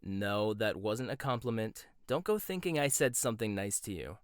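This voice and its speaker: A man's voice: lowish voice, lively intonation, normal speed, clean tone, no echo, studio quality, close to an everyday voice. A young man playing a cocky, condescending rival chef in a boyfriend ASMR roleplay, teasing and smug, often laughing. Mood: bossy. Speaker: voice actor.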